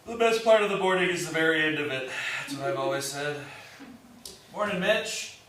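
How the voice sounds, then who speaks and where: A far, echoey stage recording with clear audience noise. A man plays a flippant son of thirty, wry, in a slightly high voice for a man. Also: moderate pace, a crowd in the background, natural voice. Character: flippant, sleepy thirty-year-old son living at home. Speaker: actor in a staged reading. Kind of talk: live stage play reading.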